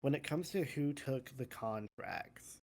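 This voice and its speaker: A player voicing a sober member of a royal court in an improvised Minecraft roleplay, halting and deliberate. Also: mid-range voice, background noise, natural voice. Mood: sober, pondering.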